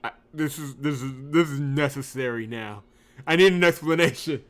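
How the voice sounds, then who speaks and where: A man hosting a let's play, reading game narration aloud and breaking into excitable commentary. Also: fairly high pitch, moderate pace. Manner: excitable, exclamatory reactions.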